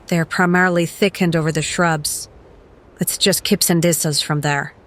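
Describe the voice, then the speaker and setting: Actress as watchful female survivor, audio drama. Serious, level-headed, somewhat low voice. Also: steady tone, moderate pace, fairly bright tone, close to an everyday voice. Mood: urgent caution.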